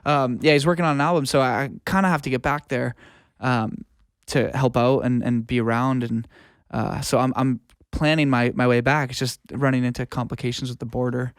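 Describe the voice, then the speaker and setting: A man's voice: mid-range voice, fairly even intonation, fairly quick, smooth, no echo, clean studio sound. A young man talking casually as an interview guest, tentative and thinking aloud. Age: young adult. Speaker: podcast guest, music producer and audio engineer. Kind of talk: casual chat.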